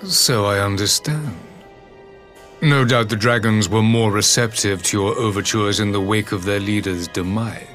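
A stern emperor played by a man in a game cutscene. His voice sits on the low side, and he speaks with proud, scornful formality. Music plays softly behind him. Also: natural voice. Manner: haughty, measured, scornful oratory. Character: stern, imperious armored emperor, proud and contemptuous.

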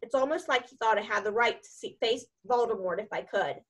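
A woman's voice: fairly high pitch, fairly quick, a bit bright. A woman voicing a thoughtful, brave young schoolboy in a storybook, earnest and plain-spoken.

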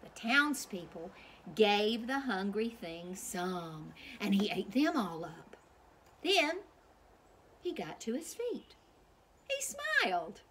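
A middle-aged woman reading a children's storybook aloud outdoors, with expressive, deliberate, theatrical emphasis. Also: high voice. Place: outdoors on a porch swing.

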